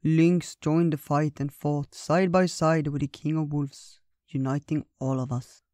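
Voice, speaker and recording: A man voicing a kindly, wise old male bear who speaks gently and without hurry. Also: fairly high pitch, little pitch movement, no echo, studio quality, lightly acted. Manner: gentle, unhurried, storytelling.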